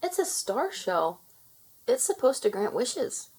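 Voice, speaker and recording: A woman's voice: on the high side, very animated, slow pace, a little mellow, breathy edge, pitched above the performer's own voice, full character voice. A woman voicing a cheerful, generous young mermaid sister in a children's storybook. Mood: explaining. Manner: sing-out, explanatory.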